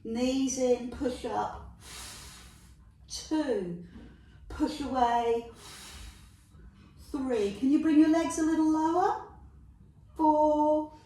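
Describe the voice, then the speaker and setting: A woman's voice: high voice, fairly even intonation, very slow, long pauses, mellow. A middle-aged woman with a London accent leading a Pilates workout, counting reps aloud, cheering the viewer on and making jokey asides. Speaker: Pilates instructor. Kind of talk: guided workout instruction. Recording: echoey room, voice from a distance.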